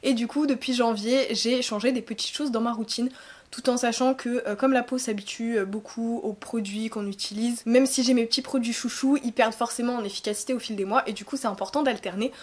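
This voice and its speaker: A woman's voice: on the high side, brisk. Stuffy-nosed young woman, chatty voice-over. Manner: chatty, relaxed, with frequent hesitations. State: congested, nasal from a cold. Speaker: beauty vlogger. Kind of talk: voice-over narration of a skincare routine. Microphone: voice-over recorded separately.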